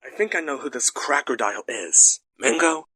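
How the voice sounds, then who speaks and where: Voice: medium pitch, lively intonation, moderate pace, bright, forceful tone, husky. An actor voicing a serious adult male alligator spy detective in a cartoon fandub, with music behind. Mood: grave, regretful.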